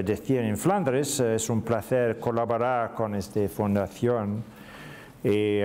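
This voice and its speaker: A middle-aged British man, a native English speaker, giving a formal conference speech in a second language with a British accent and often pausing on fillers. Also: fairly low pitch, mellow. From Britain.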